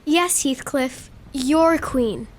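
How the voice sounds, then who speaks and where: A woman playing a gentle, pleading young girl given to make-believe in an audio drama. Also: high voice, drawn-out words. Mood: earnest, dreamy.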